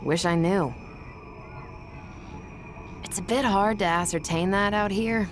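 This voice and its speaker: A woman's voice: medium pitch, slow pace, noisy background, close to an everyday voice. A woman playing a confident, blunt young female test pilot in a video game cutscene, with a casual, matter-of-fact delivery. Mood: thoughtful, breezy. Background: music.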